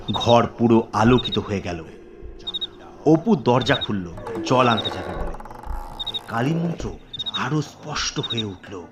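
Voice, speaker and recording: A man narrating a scripted horror story dramatically, in deliberate, suspenseful phrases with pauses. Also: medium pitch, noisy background.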